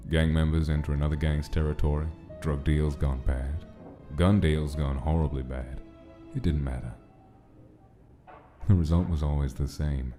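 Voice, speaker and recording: A man's voice: rumbling low, fairly even intonation, normal speed, mellow, faint music behind. A man reading scripted narration of a gritty gangster-era story in a comic dub. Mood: brooding, measured.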